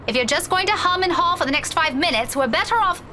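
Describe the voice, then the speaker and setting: Lady playing an impatient young woman in a visual novel, super high pitched, worried, faint noise behind. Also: expressive, fast talker, bright, forceful tone, heavily acted. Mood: impatient, alarmed. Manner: exclamatory, urgent. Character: impatient, anxious young woman on a phone call.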